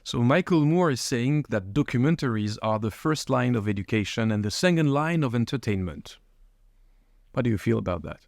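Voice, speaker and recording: A man's voice: mid-range voice, expressive, moderate pace, gravelly, dry recording. A man hosting an interview podcast, asking probing questions and rephrasing them at length. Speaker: podcast host.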